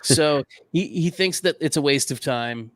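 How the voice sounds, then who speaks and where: A man's voice: on the high side, measured pace, no room sound. A man co-hosting a podcast, telling a history story in a casual, conversational way. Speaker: podcast co-host.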